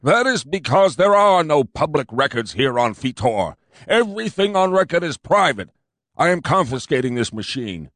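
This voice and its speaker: A man's voice: fairly high pitch, big pitch swings, normal speed, a bit bright, dry recording, studio quality, pitched above the performer's own voice. A man voicing an immensely fat, officious police commander who talks in a pompous, overbearing way, in an audiobook reading.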